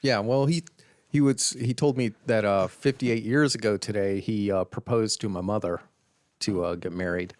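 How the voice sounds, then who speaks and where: Brief: fifties man, slightly low voice, meandering casual podcast storyteller. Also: steady tone, darkish tone. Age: 50s. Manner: halting storyteller, trailing fillers and restarts, dry. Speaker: podcast co-host. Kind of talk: casual chat.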